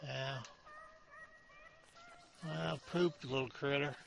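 A man's voice: mid-range voice, steady tone, extremely slow, vocal fry, soft background music. An older man giving casual gameplay commentary at home, thinking aloud, mocking himself and cursing mildly. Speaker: let's play gamer. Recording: dull lo-fi home recording.

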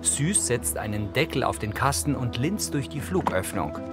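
A man narrates the action of a drama in short scripted present-tense sentences. His delivery is measured and matter-of-fact, at an average pitch, with music clearly audible behind him. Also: big pitch swings. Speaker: audio description narrator.

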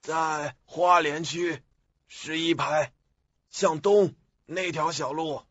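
A man acting a slow-talking old cemetery keeper. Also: fairly high pitch, fairly even intonation, a bit bright, slightly rough, dry recording, exaggerated voice. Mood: inquisitive. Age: older. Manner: slow, questioning. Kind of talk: radio drama acting. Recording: dull lo-fi audio, music behind.

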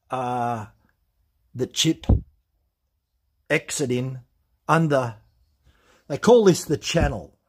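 Aussie bloke, bit husky, higher voice, easygoing how-to talk at the workbench. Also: male voice. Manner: relaxed, plain-spoken, explaining step by step. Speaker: chainsaw channel host. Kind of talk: hands-on workshop demonstration. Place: workshop bench.

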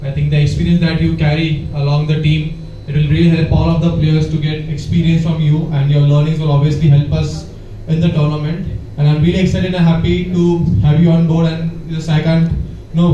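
A man's voice: a little high, dark tone, a little hiss or hum. A man who is the team's star player, welcoming the coach earnestly and repeating himself, in a large hotel function room. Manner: earnest, repetitive. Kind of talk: welcome speech. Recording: dull, echoey hall from far off.

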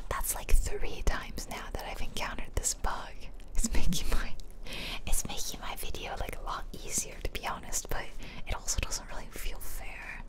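A woman whispering softly and close to the mic as she gives chatty ASMR commentary over a video game, half muttering to herself. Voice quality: soft unvoiced whisper. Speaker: gameplay video host. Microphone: close stereo mic, ear-to-ear whispering.

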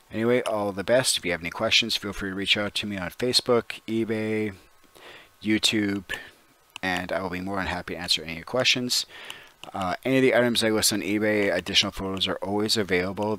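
A man from Canada talks in a relaxed, rambling way and fills his sentences with "uh" and "you know." His voice sits a little low but sounds bright and forward. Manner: easygoing, rambling with many fillers. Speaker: online seller, channel host. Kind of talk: casual voice-over vlog.

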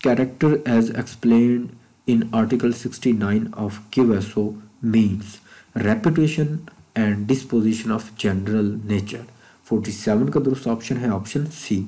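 Slow-talking Pakistani man teaching. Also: medium pitch, mellow, low-quality recording. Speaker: law test prep instructor. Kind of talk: lesson reading out and explaining quiz questions in mixed Urdu and English. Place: voice-over of a screen recording.

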